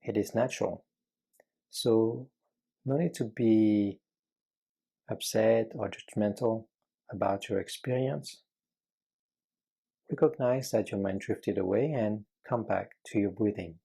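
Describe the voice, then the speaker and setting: A man guiding a meditation with calm, gentle, measured instruction and frequent pauses between phrases. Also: fairly low pitch, gravelly, husky. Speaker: meditation guide.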